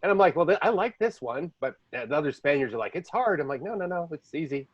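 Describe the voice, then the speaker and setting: A man's voice: on the high side, sing-song, fast talker, husky, dry recording. Rambling, chatty man with a muffled sound. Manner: rambling storyteller, jumps between languages. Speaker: podcast host. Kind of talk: casual conversation.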